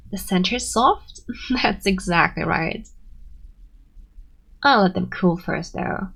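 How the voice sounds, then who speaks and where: A woman's voice: mid-range voice, gravelly, a bit bright, breathy edge, highs cut off, heavily acted. A woman playing a bashful tsundere friend in an ASMR roleplay, abrasive yet sweet, with flustered hesitations and haughty denials. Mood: pleased. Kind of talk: ASMR roleplay, acted intimate monologue.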